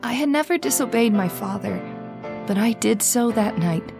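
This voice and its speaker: A woman's voice: a little high, expressive, moderate pace, vocal fry, over music. A woman playing a lonely, romantic twenty-one-year-old daughter in an old-time radio drama, with a wistful, formal manner. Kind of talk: old-time radio horror drama acting, first-person narration.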